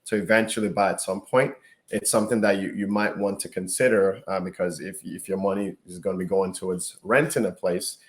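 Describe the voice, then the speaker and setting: A man with a fairly low voice talks through a reaction video with his co-host. He speaks in a loose, conversational way, stopping and restarting phrases as he works out his point. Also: fairly even intonation. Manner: halting, repeats words while thinking. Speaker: realtor co-host. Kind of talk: casual reaction chat.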